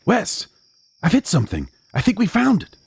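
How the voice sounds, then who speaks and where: An actor playing an educated young medical student and wary accomplice in an audio drama, speaking in a formal, measured way. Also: male voice, high-pitched, wildly swooping pitch, creaky, heavily acted. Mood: uneasy. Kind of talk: audio drama acting and first-person narration.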